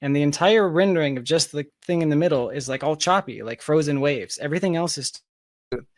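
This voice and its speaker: A man chatting casually as a podcast guest, telling anecdotes in an animated manner over a close mic. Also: on the high side, fairly quick, no room sound.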